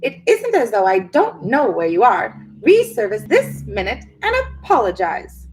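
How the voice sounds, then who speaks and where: A fussy old witch, played by a woman reading aloud scolds in sharp, high bursts. Her voice leaps up in pitch as she bellows. She sounds exasperated and bossy. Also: huge pitch swings, moderate pace, soft background music, poor audio. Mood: scolding.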